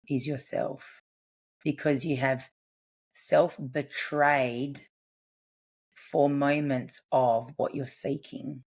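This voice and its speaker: A woman's voice: a bass voice, darkish tone, slightly rough. A woman, a family constellations practitioner and podcast guest, explaining her ideas earnestly in casual chat. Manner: earnest, explanatory, quick bursts.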